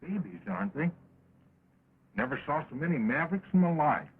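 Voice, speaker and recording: An actor playing a young cowboy sidekick with an American drawl, folksy and mock-insulting, in an early talkie film. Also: male voice, on the high side, animated, a little fast, husky. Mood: bantering. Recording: tinny, crackly 1920s film soundtrack.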